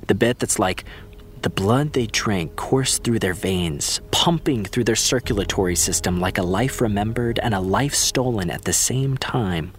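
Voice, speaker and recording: A man's voice: lowish voice, unhurried, close to an everyday voice. A young American man acting as a laid-back, supportive friend in a fiction podcast, with casual, teasing, slangy delivery. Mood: enthusiastic. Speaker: voice actor. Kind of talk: naturalistic fiction podcast dialogue.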